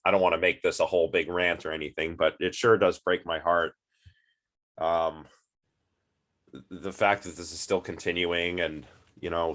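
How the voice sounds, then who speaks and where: A man's voice: sits low, a bit bright. A man with a sniffly, stuffed-up voice giving a casual online philosophy lecture, chatty and rambling with many fillers and restarts.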